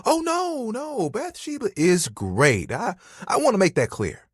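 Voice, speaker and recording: A man's voice: sits high, huge pitch swings, measured pace, husky, no echo, clean studio sound, heavily acted. A man voicing a young, easygoing, sensitive living towel in a video game, talking casually and earnestly with some hesitant, theatrical turns. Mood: flustered, defensive. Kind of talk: video game character voice acting.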